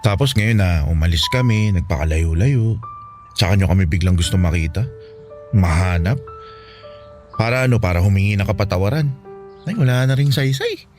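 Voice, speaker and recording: An actor playing a wounded, bitter young son who speaks in reproach in a radio drama. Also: male voice, lowish voice, lively intonation, normal speed, long pauses, darkish tone, faint music behind, natural voice. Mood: bitter, accusing. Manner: accusing, reproachful. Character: bitter, wounded young eldest son rebuking his mother. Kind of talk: Filipino radio drama acting.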